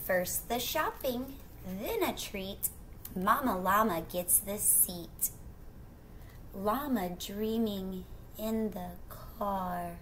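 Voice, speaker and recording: A woman's voice: mid-range voice, a little hiss or hum. A middle-aged woman reading a children's picture book aloud expressively, with casual asides to her young listeners. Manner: expressive, drawn-out rhyming phrases, questions to the listener. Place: small indoor room at home.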